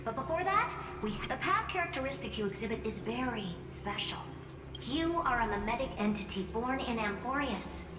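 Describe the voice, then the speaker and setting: A woman's voice: fairly high pitch, lively intonation, normal speed, background noise, natural voice. An actress playing a self-assured female genius in a video game, talking in a frank, confident, conversational way. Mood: frank, serious. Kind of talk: video game dialogue acting. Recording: echoey hall under loud music.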